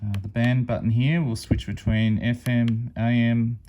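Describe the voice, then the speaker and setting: A man's voice: mid-range voice, level tone, a little slow, mellow, a little hiss or hum. A man giving an informal, unscripted step-by-step product demonstration as a hobby reviewer.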